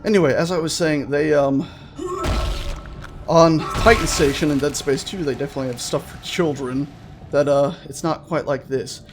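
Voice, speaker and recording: A man's voice: on the high side, moderate pace. A man giving casual, rambling let's play commentary, often interrupting himself with playful wording. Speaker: gaming video host. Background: game music.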